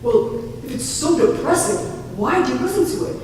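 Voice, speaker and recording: A weary husband pleads in exasperation, as a woman reader puts him on. The voice stays high for a man. A reverberant hall echoes it from afar. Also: sing-song, brisk, a little mellow, slight background noise. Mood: exasperated. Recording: echoey hall, distant mic.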